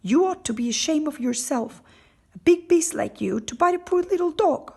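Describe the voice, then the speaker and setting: Woman as a plucky little storybook girl, raised pitch. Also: expressive, normal speed, creaky, darkish tone. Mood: angry, scolding. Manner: scolding, direct. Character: brave, indignant little girl, anxious for her dog. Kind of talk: storybook character voice.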